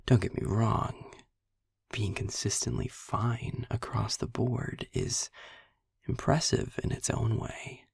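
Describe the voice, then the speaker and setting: A man playing a sarcastic, world-weary demon in an intimate audio roleplay, speaking deliberately and wryly in a breathy, husky near-whisper. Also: lowish voice, dry recording, clean studio sound, heavily acted. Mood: wry, musing. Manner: sardonic, deliberate, wordy. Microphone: close mic, dry.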